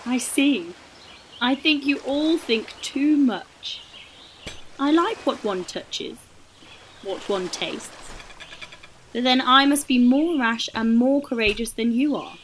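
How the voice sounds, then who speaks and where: A woman's voice: high voice, slow, pauses often, slight background noise, poor audio. A woman playing a proud, vain, sensual middle-aged woman in a literary radio drama. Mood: defiant.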